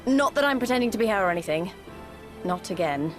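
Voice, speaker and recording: A woman's voice: mid-range voice, expressive, quick pace, soft background music, natural voice. A woman voicing an earnest, spirited young resistance fighter in a video game cutscene. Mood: sheepish, friendly.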